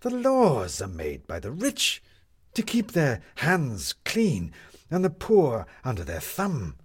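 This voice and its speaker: A man's voice: mid-range voice, wildly swooping pitch, slow pace, slightly rough, voice pushed up, exaggerated voice. A man voicing an indignant male schemer on the run in a storybook reading, with a blustering, theatrical, emphatic delivery. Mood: defiant.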